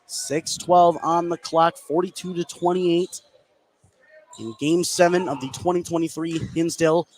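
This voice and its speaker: Higher-voiced man calling live basketball, noisy gym. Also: unhurried. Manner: energetic, follows each pass in clipped phrases. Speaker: play-by-play sports announcer. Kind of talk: live basketball radio commentary. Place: indoor gymnasium at a live game. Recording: clear gym noise behind the voice.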